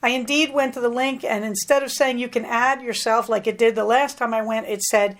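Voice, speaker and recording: A woman's voice: fairly high pitch, steady tone, brisk. A middle-aged American woman hosting a podcast, talking in a chatty, rambling way with plenty of asides. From United States. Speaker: podcast host. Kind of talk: casual solo podcast chat.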